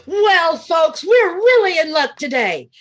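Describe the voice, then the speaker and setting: A woman plays a showy tour guide in an old-time radio comedy. Her voice is extremely high and chirpy, projected as if announcing sights to a whole group. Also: very animated, slow, heavily acted. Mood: excited announcing. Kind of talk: 1940s-style radio comedy acting.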